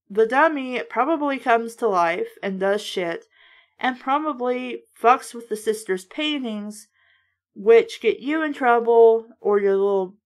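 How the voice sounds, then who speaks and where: A woman with a slightly high voice gives casual, critical commentary as the host of a book show. She is blunt and exasperated, and she swears freely while making her points.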